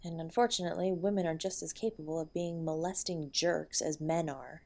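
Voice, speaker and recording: A woman playing a protective, loving wife in an intimate audio roleplay, with a breathy voice. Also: sits low, steady tone, fairly quick, a little mellow. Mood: gently reassuring. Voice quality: breathy, often dropping to a whisper. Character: protective loving wife comforting and defending her husband.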